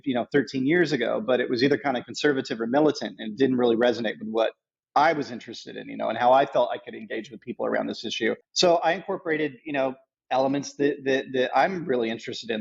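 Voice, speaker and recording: Husky, gravelly middle-aged man telling his story. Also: medium pitch, quick pace, lo-fi sound. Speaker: podcast guest, nonprofit founder. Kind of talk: conversational storytelling in an interview.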